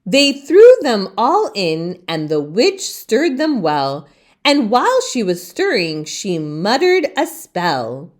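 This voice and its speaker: Very slow, expressive woman storyteller. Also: mid-range voice. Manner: theatrical, deliberate phrasing for young listeners. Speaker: storyteller reading children's books aloud. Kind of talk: expressive children's read-aloud narration.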